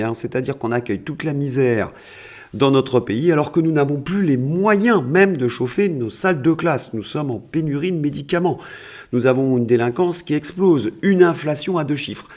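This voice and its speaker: A man's voice: medium pitch, darkish tone. Sardonic, emphatic man giving political commentary. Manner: emphatic, sardonic, rhetorical questions and repeated words. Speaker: political video commentator and host. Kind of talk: opinionated political commentary to viewers.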